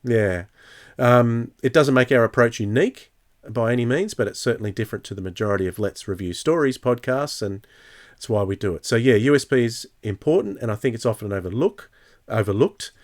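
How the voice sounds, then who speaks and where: Measured man hosting a podcast, medium pitch. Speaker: podcast host. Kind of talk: casual podcast discussion.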